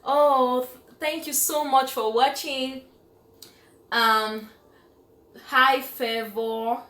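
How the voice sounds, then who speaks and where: A woman's voice: high-pitched, fairly even intonation, drawn-out words, a bit bright, reverberant. A woman from Cameroon hosting a live cooking stream in her home kitchen, chatty and enthusiastic, laughing often as she reads out viewer comments. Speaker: cooking show host.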